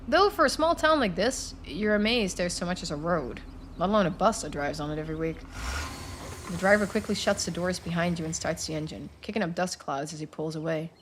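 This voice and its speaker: A woman reading a video game's wry second-person narration in a measured storytelling way. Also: on the low side, fairly bright tone, slight background noise, voice pushed down, close to an everyday voice. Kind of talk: scripted narration read aloud.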